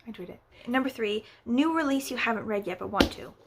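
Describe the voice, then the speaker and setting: A woman's voice: fairly high pitch, animated, fast talker. A woman chatting casually as a book vlogger, rambling with lots of fillers and wry, playfully exaggerated asides. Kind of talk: casual book review chat.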